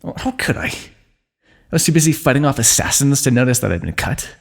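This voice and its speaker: A man voicing a wry, wounded fantasy ruler who teases an old comrade in a calm, dry way, in an ASMR roleplay. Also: mid-range voice, a little fast, creaky. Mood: dry teasing. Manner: dry, teasing, calm understatement.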